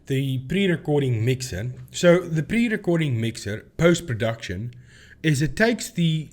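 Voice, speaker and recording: A man's voice: fairly high pitch, lively intonation, unhurried. A man hosting a video channel, explaining things informally and often stopping to rephrase himself. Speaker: host of a paranormal debunking video channel.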